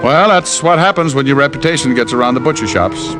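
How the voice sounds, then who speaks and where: An actor playing a wry, self-assured American private detective in an old radio drama, breezy and teasing. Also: male voice, mid-range voice, lively intonation, fairly quick, over music, lightly acted. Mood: wry narrating. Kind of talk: 1940s-50s radio drama, first-person narration and dialogue. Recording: old-time radio recording.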